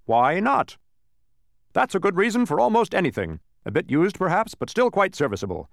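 Booming, high-pitched pompous gateman. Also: big pitch swings, normal speed, gravelly, dry recording, clean studio sound, exaggerated voice. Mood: satisfied, officious. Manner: booming, proclaiming, officious. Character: pompous, impatient, officious male city gateman. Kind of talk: children's storybook character voice.

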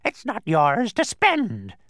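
Storybook villain-pest: a filthy old bird, high shrieking, wildly swooping, haughty and gleefully pedantic. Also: male voice, wildly swooping pitch, slow pace, a bit bright, no room sound, clean studio sound, exaggerated voice.

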